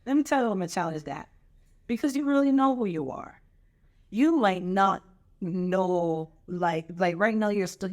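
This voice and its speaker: A woman pastor hosting an advice talk, animated and encouraging. She repeats herself for emphasis and slips into preacher-style scripture lines. Her pitch sits right in the middle. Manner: lively, coaching, repeats phrases for emphasis. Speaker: pastor and show host. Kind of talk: casual advice chat.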